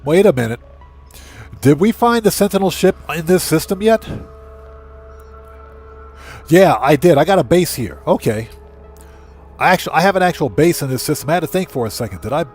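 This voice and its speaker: A man's voice: a little high, animated, fairly quick, pauses often, darkish tone, breathy edge, soft background music. A man hosting a livestream, chattily thinking aloud as he gives casual video game commentary and talks to viewers. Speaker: livestream host.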